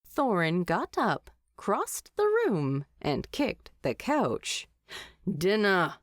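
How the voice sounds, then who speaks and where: A woman's voice: on the low side, wildly swooping pitch, slow pace, dry recording, clean studio sound. A woman reading scripted fiction aloud as an audiobook narrator.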